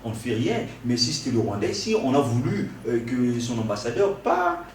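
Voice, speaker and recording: A man's voice: medium pitch, very animated, normal speed. A male interviewer pressing his guest with challenging questions. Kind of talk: political interview. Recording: echoey room, distant mic, faint noise.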